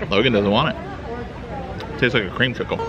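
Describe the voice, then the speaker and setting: A man's voice: mid-range voice, dull audio. A man in his thirties chatting casually and jokingly on a vlog at a theme park, filmed on a handheld camera. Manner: easygoing, jokey, playful. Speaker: vlogger. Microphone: handheld camera at arm's length. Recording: outdoor park with loud music and crowd noise. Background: loud crowd and park music.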